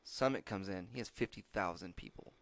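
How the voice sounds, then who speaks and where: A man's voice: sits low, fairly quick, fairly bright tone, dry recording. A man chatting casually as a podcast co-host, rambling and restarting, with a lot of swearing. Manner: rambling storyteller, frequent restarts and profanity. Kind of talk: casual chat.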